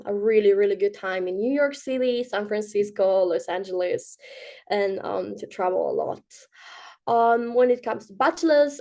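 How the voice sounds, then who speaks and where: A woman's voice: fairly high pitch, a little mellow. A woman in her twenties from Bulgaria, answering as a guest in a casual interview, chatty with many ums and light laughs. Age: 20s.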